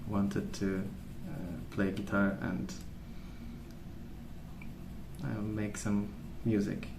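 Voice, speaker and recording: A soft-spoken man rambling in an ASMR style, with a hesitant, meandering and unhurried way of recollecting. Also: lowish voice, flat delivery, creaky. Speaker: ASMR video host. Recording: roomy, distant mic, noisy background. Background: pencil colouring sounds, background noise.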